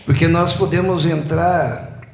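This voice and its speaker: A man, preaching a sermon slowly and emphatically, building up repeated phrases. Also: on the high side, steady tone, gravelly, a little mellow. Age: 60s. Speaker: pastor. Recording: echoey church room.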